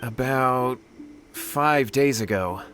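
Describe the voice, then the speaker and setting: A man's voice: medium pitch, slow, fairly bright tone. An actor playing an earnest, anxious young man in an anime-style game, pleading and deferential as he speaks. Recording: music behind the voice.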